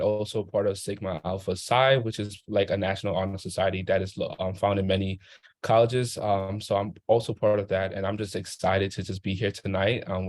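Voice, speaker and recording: A man's voice: low-pitched, a little mellow, no echo. A young man from Haiti, a student panelist, introducing himself earnestly with many ums on a video call. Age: young adult.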